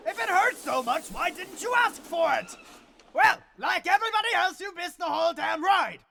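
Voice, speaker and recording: A man's voice: very high-pitched, animated, moderate pace, creaky, bright, forceful tone, slight background noise, heavily acted. A man playing a manic, boastful mad-scientist villain in a comedic audio drama, bombastic and theatrical. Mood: exasperated, frantic.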